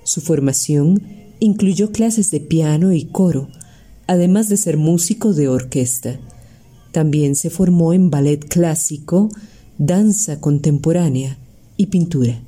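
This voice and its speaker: A Costa Rican woman hosts the interview with a low, dark voice. She talks slowly and formally and draws out her phrases. Her voice is a little husky, with faint music underneath. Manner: warm formal praise, slow deliberate phrasing. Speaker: interview host. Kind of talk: slow formal radio-style interview.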